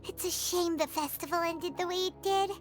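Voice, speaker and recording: A woman voicing a small, excitable, blunt and loyal fairy companion with a squeaky childlike voice in a video game. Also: moderate pace, soft background music. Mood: dejected. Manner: refers to herself in third person, expressive.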